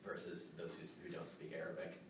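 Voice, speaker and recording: A man in the audience asking the panel a long, hesitant, rambling question. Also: brisk. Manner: hesitant, rambling, many fillers. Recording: distant, very echoey hall with noise.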